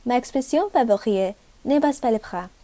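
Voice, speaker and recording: A woman's voice: fairly high pitch, lively intonation, normal speed, dark tone. A woman from the United States, a French student, reading a short upbeat message. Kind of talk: read-aloud video message.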